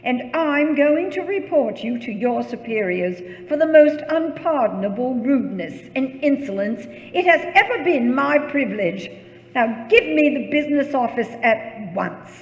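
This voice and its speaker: An actress playing a frantic, imperious bedridden woman with a shrill voice in an old-time radio thriller, haughty and fretful, repeating her words. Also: expressive, a little slow. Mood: haughty, exasperated. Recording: echoey hall with long reverb.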